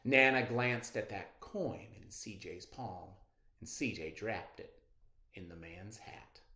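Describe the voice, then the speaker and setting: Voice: low-pitched, takes it slowly, sharp tone. A storyteller reading a children's picture book aloud expressively, in a roomy, echoing recording.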